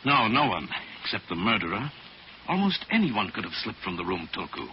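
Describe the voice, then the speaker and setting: A dull, noisy old radio recording of a wealthy mystic detective hero. He is measured and authoritative, with widely varied intonation and a middling pitch. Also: very animated. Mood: calm, deliberate. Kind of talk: old-time radio drama acting.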